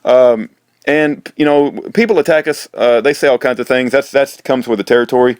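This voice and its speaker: A man's voice: medium pitch, normal speed, a little mellow, clean tone, dry recording. Rambling American man, close dry sound. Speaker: host, prisoner-support activist. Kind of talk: informal, rambling monologue to viewers.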